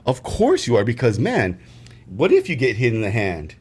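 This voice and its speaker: A man co-hosting a casual sports podcast, rambling through opinions with many fillers and restarts, growing emphatic when excited. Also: mid-range voice, moderate pace, breathy edge. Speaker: podcast co-host.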